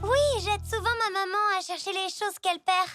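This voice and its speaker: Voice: extremely high pitch, moderate pace, bright, forceful tone, soft background music. A performer voicing a helpful, chirpy little zebra girl in a French children's cartoon, with a childlike manner.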